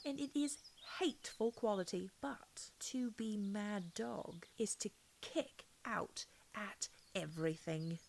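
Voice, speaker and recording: A woman's voice: medium pitch, a little mellow, slight background noise. A woman narrating a children's classic as an audiobook reader, in a scripted storybook style.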